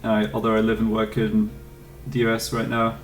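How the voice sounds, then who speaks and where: A man's voice: fairly low pitch, completely flat delivery, normal speed, reverberant, recorded from a distance, soft background music. A young man from England with an English accent, casually chatting with his live chat while streaming a game, rambling and answering questions.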